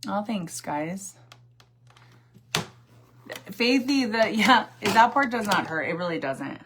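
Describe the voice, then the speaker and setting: A woman's voice: mid-range voice, animated, slow pace, noisy background. A woman in her forties chatting casually on a livestream while doing her makeup, sassy and chatty, answering viewer comments and laughing often. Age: 40s. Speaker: livestream host.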